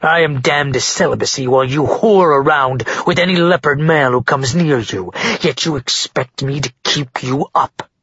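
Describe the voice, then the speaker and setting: A man's voice: mid-range voice, normal speed, hardly any pauses, a bit bright, breathy edge, no echo, dull audio. A man voicing a stern, powerful father who speaks coldly and growls, in an audiobook reading. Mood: cold anger. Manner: cold and emotionless, growling.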